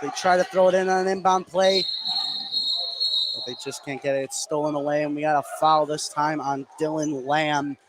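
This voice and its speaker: A man doing live play-by-play radio commentary of a high school basketball game, with excitable delivery. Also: normal speed. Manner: excitable, voice swinging up on big plays. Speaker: play-by-play sports announcer. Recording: clearly noisy live gym broadcast. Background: gymnasium game noise.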